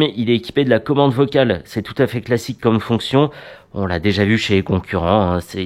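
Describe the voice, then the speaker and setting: A man's voice: fairly low pitch, darkish tone. A man presenting a product review in a conversational, matter-of-fact, unscripted way.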